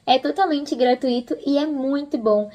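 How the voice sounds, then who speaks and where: High-pitched young Brazilian woman. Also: moderate pace, dark tone. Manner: informal, encouraging. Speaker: host of a video channel. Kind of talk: casual video chat giving tips.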